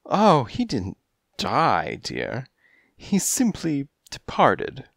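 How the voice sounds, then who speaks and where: A man's voice: mid-range voice, huge pitch swings, drawn-out words, a bit bright, no echo, clean studio sound, voice pushed up, heavily acted. A man voicing a flirtatious, dainty female cat who gushes and speaks breathily, in a storybook reading. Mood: gushing. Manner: breathy, gushing, coy.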